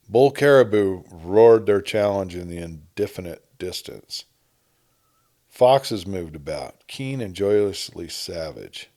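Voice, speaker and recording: Low, mellow male story narrator. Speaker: narrator reading a short story. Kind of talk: scripted dramatic audiobook narration.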